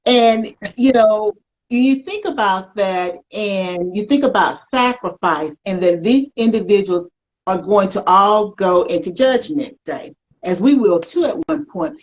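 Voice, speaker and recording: A woman hosting a conversational faith-themed interview, speaking in an emphatic, reflective way with drawn-out phrases. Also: mid-range voice, animated, dull audio. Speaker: talk show host.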